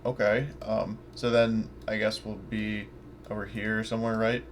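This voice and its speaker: A man's voice: lowish voice, little pitch movement, moderate pace, a loud crowd. A man talking casually and informally, swearing now and then, as a player in an online tabletop roleplaying session. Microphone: online voice chat mic. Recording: faint noise on a home mic.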